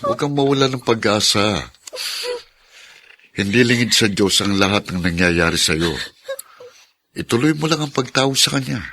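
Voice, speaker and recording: A man's voice: fairly low pitch, lively intonation, moderate pace, long pauses, bright, forceful tone, slight background noise. A Filipino man playing a protective older male cousin in a radio drama, earnest and sympathetic. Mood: consoling. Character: protective, consoling older cousin, indignant on her behalf.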